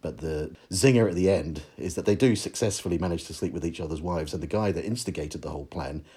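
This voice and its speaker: Podcast guest: middle-aged New Zealand man, deep husky voice, dry wry delivery. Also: quick pace, mellow. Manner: dry, wry humour with frequent chuckles. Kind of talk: casual chat.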